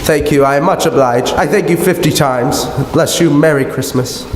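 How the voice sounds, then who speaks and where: A man acts a humble, generous old gentleman, a miser reformed, in a live radio play. His voice sits a little high, in a very reverberant hall with clear background noise. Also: normal speed, natural voice. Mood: earnest, humble. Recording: echoey hall, noisy live stage recording.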